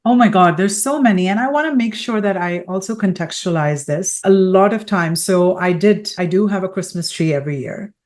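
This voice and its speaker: A woman's voice: mid-range voice, brisk, few pauses, darkish tone. A middle-aged woman from Pakistan hosting an interview podcast, explaining thoughtfully with fillers and restarts. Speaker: psychologist podcast host. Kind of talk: conversational interview.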